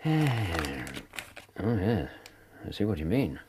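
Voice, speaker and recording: An earnest loyal doctor companion. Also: male voice, low voice, sing-song, slow pace, noisy background, heavily acted. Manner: earnest, chatty, plain-spoken. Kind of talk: radio drama acting.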